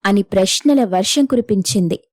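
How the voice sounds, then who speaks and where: A woman's voice: mid-range voice, moderate pace, darkish tone, no echo, studio quality. A woman narrating a scripted moral story as the storyteller.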